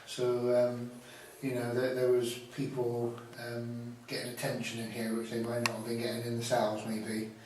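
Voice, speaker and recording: Flat-voiced man, distant, very echoey room. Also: mid-range voice, normal speed, few pauses. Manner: calm, hesitant, many ums. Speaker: ghost-hunting YouTuber. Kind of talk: casual on-location chat. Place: large echoey building, a former jail, at night. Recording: very echoey, from off-mic, faint noise.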